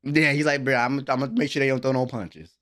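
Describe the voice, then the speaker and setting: A young adult man giving excited, slangy live reaction commentary as a host, full of hyped exclamations. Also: medium pitch, quick pace. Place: small room at home, indoors. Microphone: desk microphone, close.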